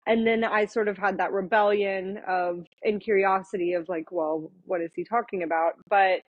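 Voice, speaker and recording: A woman in her thirties, a lawyer guest on a podcast, talking reflectively in a relaxed conversational way with many fillers and restarts. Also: mid-range voice, moderate pace, dry recording, phone-quality sound. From born in Thailand, raised in Florida and India. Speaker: guest, attorney.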